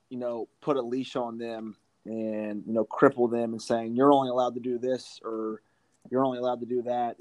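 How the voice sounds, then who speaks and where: A man's voice: mid-range voice, darkish tone, no room sound, poor audio. A man speaking as a college basketball coach in an interview, explaining his approach emphatically and repeating points for stress. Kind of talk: interview answers about coaching.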